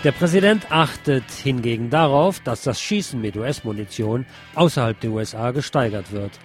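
Man reading news on community radio. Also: medium pitch. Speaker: radio host. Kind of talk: reading newspaper articles aloud with sarcastic asides.

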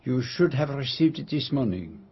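An actor playing a courteous, shrewd detective with a French accent in a radio drama, formal and measured as he probes and gently teases. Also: mid-range voice, expressive, husky. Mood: courteous, inquiring. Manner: formal, polite, measured, probing. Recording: dull, lo-fi radio drama recording.

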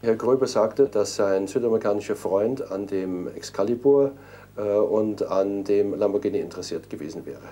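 Hesitant low-voiced man, interviewed. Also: moderate pace, mellow. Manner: hesitant, many fillers. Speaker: interviewee, prisoner. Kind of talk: recorded interview.

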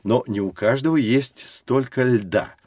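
An actor playing a patient, learned science teacher who explains things to children in a measured, didactic way for an educational audio drama. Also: male voice, medium pitch, darkish tone, no echo, studio quality, natural voice. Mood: patient, explanatory.

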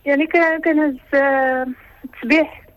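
A woman in her twenties with a Moroccan accent calling in to a radio show, asking her questions hesitantly with halting restarts. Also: high voice, fairly even intonation, dull audio. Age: 20s. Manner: hesitant, halting, many fillers. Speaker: caller.

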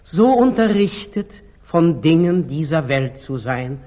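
An actor playing a humble, artless monastery lay brother with a hesitant, meek manner in a 1940s German radio drama. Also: male voice, a little high, sing-song, slow pace, mellow. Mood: sly, hesitant.

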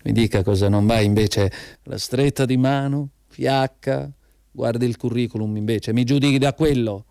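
An actor playing a proud, aggrieved unemployed graduate, earnest and defensive, in a radio drama. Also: male voice, mid-range voice, steady tone, moderate pace, creaky, dark tone, no room sound, clean studio sound, close to an everyday voice. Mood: insistent, proud. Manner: earnest, defensive, piles up grievances. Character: proud, aggrieved unemployed male graduate pleading his worth.

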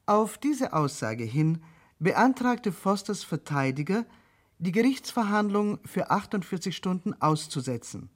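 An announcer narrating a radio play recap in a measured, formal manner. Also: mid-range voice.

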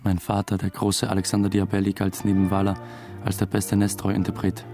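A man's voice: low voice, completely flat delivery, brisk, over music. An actor playing a diffident young theatre dramaturg who narrates his own story in a radio drama, dry and understated. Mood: reflective.

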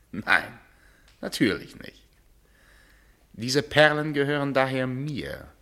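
A middle-aged male radio play voice. He is a callous, philandering husband with a sardonic bite. His intonation is animated and swings widely. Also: medium pitch, creaky, full character voice. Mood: smug, mocking. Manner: sardonic, biting.

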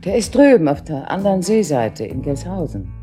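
A woman's voice: lowish voice, very animated, moderate pace, warm tone, faint music behind. An actress in a German radio drama playing an obliging, practical guesthouse landlady. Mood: helpful.

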